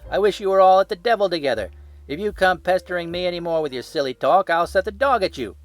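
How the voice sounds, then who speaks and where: A man's voice: high voice, expressive, quick pace, soft background music, voice pushed up. A man voicing a hot-tempered, cocksure poultry salesman in a storybook, blunt and emphatic. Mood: furious.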